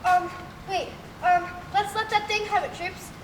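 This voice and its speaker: A woman's voice: very high-pitched, fairly even intonation, unhurried. A woman playing a frantic army officer barking exaggerated commands in a comic stage radio drama. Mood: battle bluster. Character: frantic army officer ordering troops against aliens. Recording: echoey noisy hall.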